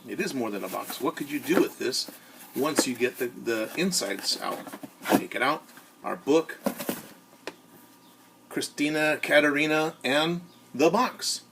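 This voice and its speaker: A man who is a teacher reads a storybook aloud to children in an expressive way, often turning to ask his listeners questions. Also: medium pitch, measured pace, vocal fry, pauses often, noisy background. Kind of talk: animated storybook read-aloud with asides to young listeners.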